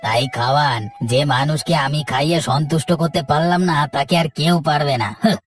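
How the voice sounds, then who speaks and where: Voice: medium pitch, steady tone, a little fast, breathy edge, close to an everyday voice. An actor voicing a grumbling, sarcastic married man in a family cartoon, with a complaining manner. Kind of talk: cartoon voice acting. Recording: distant voice with faint music.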